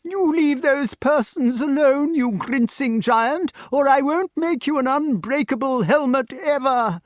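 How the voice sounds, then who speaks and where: A feeble, fussy old inventor scolding from his cell in a high, indignant voice, as a male reader puts him on. The voice sits well above the reader's own, close and dry. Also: a little slow, no room sound.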